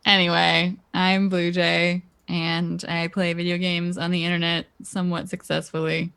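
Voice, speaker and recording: A woman's voice: fairly low pitch, little pitch movement, moderate pace, gravelly, a bit bright, smooth. A woman streamer playing in a tabletop game, blunt and swearing casually. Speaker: player and streamer. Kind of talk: casual stream outro chat.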